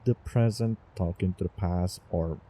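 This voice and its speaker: A man playing a doting, obsessive young time traveler in love, in a theatrical romantic audio roleplay with halting, repeated words. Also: low voice, warm tone, a little hiss or hum. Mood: nostalgic, musing. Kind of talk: over-the-top romantic roleplay audio drama.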